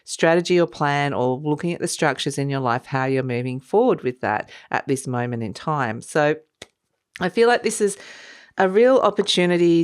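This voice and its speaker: A woman with a low voice talks through a tarot spread in a relaxed, meandering way. A cold leaves her sounding croaky and a little stuffed up, and she laughs softly between her thoughts. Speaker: tarot reader. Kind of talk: casual spoken tarot reading.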